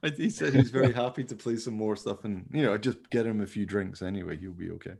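A man with a creaky voice chatting casually as a host about making radio drama. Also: fairly low pitch, fast, a little mellow. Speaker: podcast host, radio play maker. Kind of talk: casual behind-the-scenes chat.

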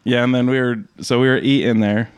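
A man's voice: medium pitch, steady tone, a little fast, clean tone, no echo. A young man telling rambling stories in casual chat, laughing and swearing, tired from staying up all night.

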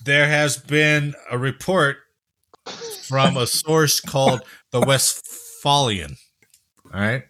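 A man from New Jersey hosting a casual news chat, jokey and quick to laugh. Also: mid-range voice, bright, forceful tone. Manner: jokey, laughs often, tells stories. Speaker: podcast host.

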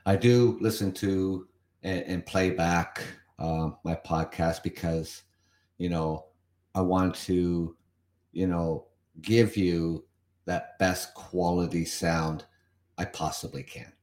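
A man's voice: sits low, fairly even intonation, takes it slowly, darkish tone, reverberant. A Canadian man hosting a solo podcast, chatting and commenting as he reads from an article, with some repetition. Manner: chatty, repeats himself, comments on what he reads. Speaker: podcast host.

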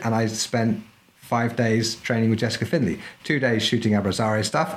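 A man's voice: lowish voice, a little hiss or hum. Chatty, emphatic man as podcast guest. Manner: chatty and emphatic, often tags "right?". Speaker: podcast guest, historical fencing instructor. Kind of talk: casual interview chat.

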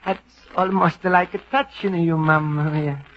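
Man voicing an Italian immigrant, homesick and excitable, Italian accent, broken English, high voice, muffled old radio sound. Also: unhurried, lightly acted. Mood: wistful. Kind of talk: 1940s radio acting. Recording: dull old radio broadcast with faint hiss.

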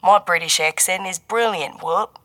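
A woman's voice: sits low, normal speed, creaky, fairly bright tone, slightly rough, dry recording, exaggerated voice. Woman voicing a mild, dry male intelligence handler. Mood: teasing, mock innocent. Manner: bantering, mild, turning businesslike.

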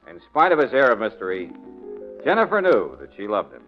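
A wry, take-charge private detective in a vintage radio drama. He is brisk and dryly patient, with a very lively, swinging delivery. The recording is dull and muffled. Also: on the low side, music in the background. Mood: reading aloud. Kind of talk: 1940s-50s radio detective drama acting.

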